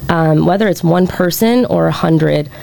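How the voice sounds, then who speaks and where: Deep-voiced woman musician, gravelly. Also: moderate pace, mellow. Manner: open and reflective, with laughs and fillers. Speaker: musician guest. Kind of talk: casual interview chat.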